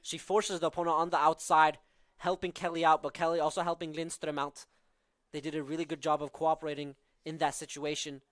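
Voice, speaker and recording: A man's voice: on the high side, level tone, fairly quick, fairly bright tone, no echo. A young man from southern Norway with a Norwegian accent, calling live college soccer with tactical analysis and frequent fillers. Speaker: play-by-play commentator.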